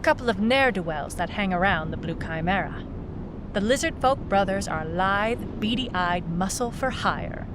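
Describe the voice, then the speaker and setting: A woman's voice: mid-range voice, animated, normal speed, noisy background, close to an everyday voice. A woman playing a wry, hard-boiled private eye, clipped and dry, in a noir detective audio drama. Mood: wry, brooding. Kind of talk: noir detective narration and radio-drama acting.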